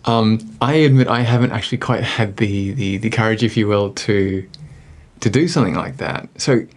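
A man's voice: lowish voice, normal speed. A man hosting an interview, asking curious, searching questions and adding frequent short acknowledgements while the guest talks. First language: not English. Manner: curious, many backchannels. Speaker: podcast host.

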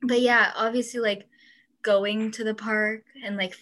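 A bright-toned young woman, her first language Urdu, talking in a loose, chatty way. She laughs often and slips into other people's voices when retelling stories. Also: fairly high pitch, little pitch movement, unhurried. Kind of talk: casual chat.

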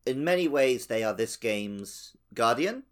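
A man's voice: mid-range voice, animated, unhurried, smooth. A man co-hosting a casual gaming podcast, explaining things in an analytical, step-by-step way. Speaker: podcast co-host. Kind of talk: casual video game discussion.

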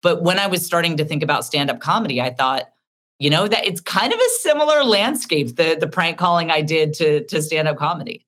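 A deep-voiced middle-aged woman, an author and comedian, telling candid stories as an interview guest. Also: a little fast. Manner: candid, animated storytelling. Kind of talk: casual interview talk.

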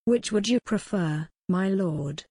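Defiant starving captive woman, soft and weak, dry close read, from a narrator. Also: mid-range voice, normal speed, no echo, natural voice.

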